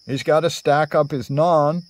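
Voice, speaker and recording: Storyteller, male, 60s. Slow, halting, digressive and theatrical delivery, slightly high pitch, improvised to a phone camera. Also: steady tone. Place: outdoors under cover among greenery. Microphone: phone at arm's length.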